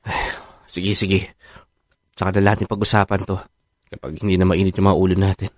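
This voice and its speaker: An actor with a Filipino accent playing a defensive, easily rattled husband in a radio drama, conversational and expressive. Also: male voice, sits low, fairly quick, no echo, close to an everyday voice. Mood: startled, upset.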